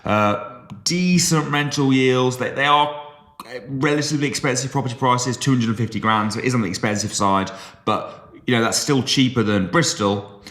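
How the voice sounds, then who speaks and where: A man from the West Midlands co-hosting a podcast in casual chat, animated and teasing, with sudden jumps up in pitch when he stresses a point. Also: moderate pace. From West Midlands, England. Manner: teasing, joking banter. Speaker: podcast co-host.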